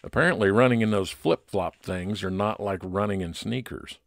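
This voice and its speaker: A man's voice: fairly low pitch, moderate pace, creaky, no echo, studio quality. A man playing a private investigator who narrates his case plainly, in old time radio detective style. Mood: matter-of-fact.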